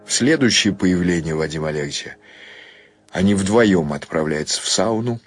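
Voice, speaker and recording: A man narrating a dramatized literary story, expressive in his delivery. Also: low-pitched, moderate pace, soft background music.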